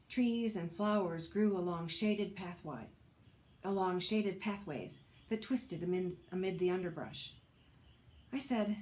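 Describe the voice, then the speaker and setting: Woman with a lowish voice reading an essay. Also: darkish tone, rough recording. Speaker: audiobook narrator, actor and author reading an essay. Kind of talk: read personal essay.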